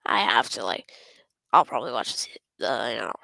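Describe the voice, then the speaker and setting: A woman streaming a game, her voice hoarse and going raspy, rambling and often apologizing. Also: rumbling low, sing-song, fairly quick, bright, forceful tone, no echo, studio quality. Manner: rambling, apologetic, self-interrupting. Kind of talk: casual gameplay stream chat.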